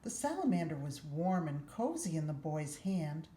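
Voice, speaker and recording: A woman's voice: low voice, sing-song, normal speed, dark tone. A woman narrating a children's picture book aloud. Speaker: social worker reading a story aloud. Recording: roomy echo, faint background noise.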